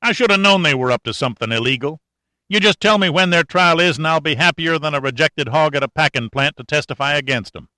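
A man voicing a folksy, excitable country-boy sergeant mechanic with a drawling, colloquial manner, in an audiobook reading. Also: on the high side, normal speed, dry recording, pitched above the performer's own voice.

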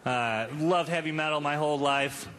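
A man's voice: a little high, moderate pace. A man in his thirties doing a conversational, crude, self-deprecating stand-up comedy routine. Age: 30s. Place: live comedy show before an audience. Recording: live club recording, noisy audience. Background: audience noise and crowd ambience.

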